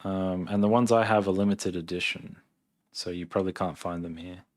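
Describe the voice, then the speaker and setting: Low-voiced Australian man, laid-back livestreamer. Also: fairly even intonation, a little fast. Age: 30s. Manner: laid-back, thinking aloud. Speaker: live streamer, software engineer. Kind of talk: casual livestream chat, reading and answering viewer questions.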